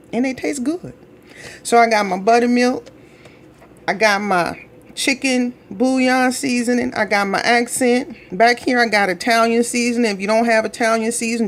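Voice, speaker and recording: Chatty woman cooking at home. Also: mid-range voice. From Minnesota. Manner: chatty, folksy, talks straight to viewers. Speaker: cooking vlogger. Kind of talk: casual cooking demonstration. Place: home kitchen.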